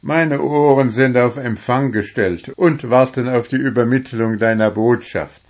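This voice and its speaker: A man's voice: mid-range voice, unhurried, a little mellow, voice pushed up, close to an everyday voice. A man voicing an earnest, bashful gnome who fancies himself a poet, in a storybook. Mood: amused. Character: lovestruck male gnome, earnest amateur poet. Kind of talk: storybook character voice.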